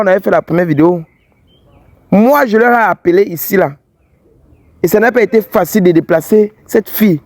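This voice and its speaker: A man with an English-speaking Cameroonian accent hosts a street confrontation vlog. His voice sits high for a man, and his manner is blunt and teasing. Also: a little mellow, dry recording. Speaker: fidelity tester vlogger host.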